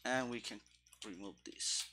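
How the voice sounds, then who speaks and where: A man in his thirties talking through live coding in a halting, muttering way, into a headset. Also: on the low side, noisy background. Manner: halting, muttering to himself while working. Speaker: programmer, host of coding stream. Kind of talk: live coding commentary, thinking aloud. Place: indoor room at a computer desk. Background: keyboard typing and clicking.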